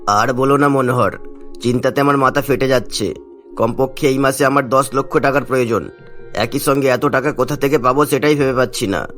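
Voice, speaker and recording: A man's voice: medium pitch, brisk, a bit bright, faint music behind, close to an everyday voice. A man voicing a stern, callous village landlord in a cartoon, with a scolding, commanding manner. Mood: worried. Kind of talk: Bengali cartoon story dialogue.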